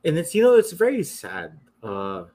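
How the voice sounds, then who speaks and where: A man from the Philippines with a Filipino accent, talking in a casual, animated way and mixing English with Filipino. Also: a little high, normal speed, breathy edge. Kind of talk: casual conversation.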